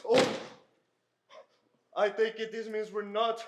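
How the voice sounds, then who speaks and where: Teen boy as spurned suitor, highish male voice, dry, stage comedy, loud noise behind. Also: monotone, slow. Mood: wry disappointment. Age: teens. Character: rejected, dry, disgusted gentleman suitor. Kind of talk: broad high school stage farce acting. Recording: very noisy live stage recording.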